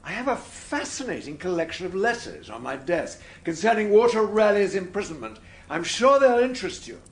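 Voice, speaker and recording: An actor playing a genial, hospitable army general in a radio drama, polite in manner. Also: male voice, high-pitched, very animated, normal speed. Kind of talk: British radio drama acting.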